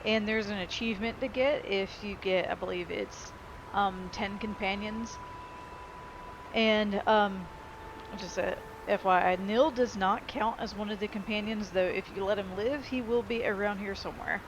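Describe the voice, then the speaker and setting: A woman's voice: mid-range voice, fairly even intonation, moderate pace, noisy background. A woman giving casual gameplay commentary as she plays through a video game. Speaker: let's play gamer. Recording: game music behind voice.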